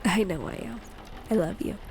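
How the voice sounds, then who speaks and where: A woman playing an affectionate, playful wife and mother in an intimate audio roleplay, speaking in a gentle, teasing way. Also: medium pitch, normal speed, vocal fry, slight background noise, natural voice. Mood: warm advising.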